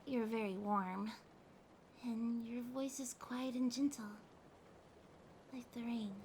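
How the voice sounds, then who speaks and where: Woman voicing a high, slow-talking needy cat girl. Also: a little hiss or hum. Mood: affectionate, sleepy. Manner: pouty, teasing, drowsy, speaking softly to a partner. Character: needy, haughty but affectionate young female cat girl. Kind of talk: ASMR roleplay, soft intimate acting. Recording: steady rain sounds behind voice. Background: rain ambience.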